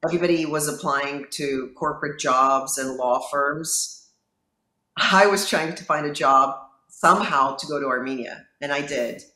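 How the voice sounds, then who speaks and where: A woman's voice: low-pitched, moderate pace, bright, forceful tone. A woman in her fifties from Lebanon, a judge, telling her personal story in an earnest, reflective way in an interview. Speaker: judge and guest. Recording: roomy, echoey sound.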